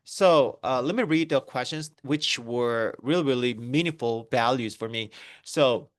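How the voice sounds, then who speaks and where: Korean-accented man, 30s, mid-pitched, halting presenter, dry close mic. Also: dry recording. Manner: halting, many fillers and restarts. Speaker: network marketing presenter. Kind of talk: online business presentation.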